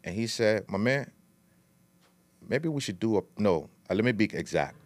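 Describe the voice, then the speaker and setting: A man's voice: on the low side, animated, moderate pace. A middle-aged man from Liberia, telling his story as a podcast guest in a casual, long-winded way with street slang. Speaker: guest, food entrepreneur.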